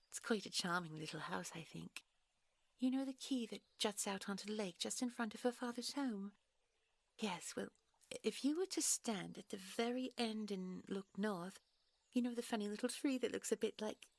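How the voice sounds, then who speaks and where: A woman playing a gentle, secretive fairy princess in an intimate audio roleplay, with a soft, breathy voice and a genteel, coy, polite manner. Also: mid-range voice, dry recording, exaggerated voice. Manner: genteel, coy, old-fashioned polite phrasing. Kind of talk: intimate audio roleplay acting, one side of a dialogue.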